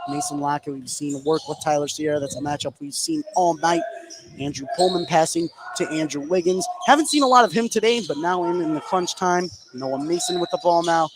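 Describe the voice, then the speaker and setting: A man giving live play-by-play commentary on a basketball game, as on sports radio. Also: a little high. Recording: clear game noise behind the voice. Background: gym ambience of a basketball game.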